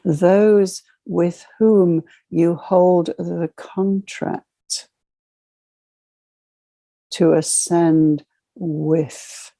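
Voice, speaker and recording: A woman leading a channeled guided meditation, speaking as a solemn spiritual guide in measured, ceremonial short phrases. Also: lowish voice, vocal fry, warm tone, no echo.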